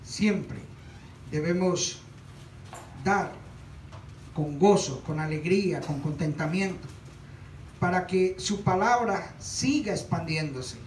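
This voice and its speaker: A man's voice: high-pitched, slow pace, pauses often, a little hiss or hum. A middle-aged man speaking earnestly to a small church congregation before the offering, reading scripture aloud. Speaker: church leader introducing the offering. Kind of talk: church exhortation. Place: small church stage, indoors. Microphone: handheld mic from a far camera. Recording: echoey room, far from the mic.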